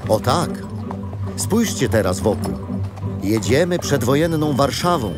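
A man's voice: mid-range voice, very animated, a little slow. An actor playing a gallant man of prewar times in a children's radio play, speaking in a courtly, declamatory way. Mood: courtly, proud. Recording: music behind.

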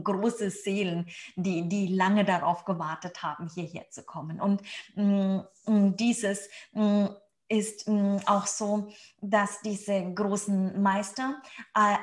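A middle-aged woman delivering an improvised spiritual message to a group, solemn and intimate, halting often with hums and repeated words. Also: mid-range voice, darkish tone. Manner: halting, with frequent hums and word repetitions between phrases. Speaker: spiritual channeler. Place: indoors, small room on a sofa.

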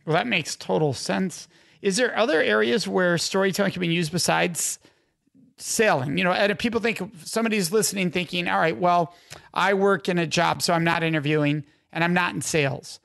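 A podcast host asks his guest follow-up questions out of curiosity. He is a man with a high voice and a gravelly, creaky edge to it. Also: a little fast, fairly bright tone. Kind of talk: interview questions.

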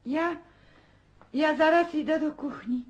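A woman's voice: high-pitched, slow, lo-fi sound. An actress playing a meek, distraught country mother in a radio play, pleading and plaintive. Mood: timid, pleading.

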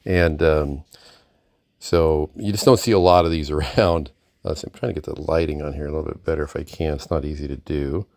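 A man's voice: a bass voice, fairly even intonation, fairly quick, gravelly, a little mellow, dry recording, studio quality. A middle-aged or older man giving a casual, unscripted product demonstration in a hesitant, rambling, self-deprecating manner. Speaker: vintage radio collector presenting a receiver. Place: indoor room, at a desk with radio gear.

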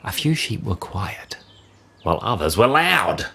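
A man narrating a rhyming children's story in an expressive, measured storytelling style. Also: on the low side, vocal fry, background noise. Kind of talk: scripted rhyming children's story read-aloud.

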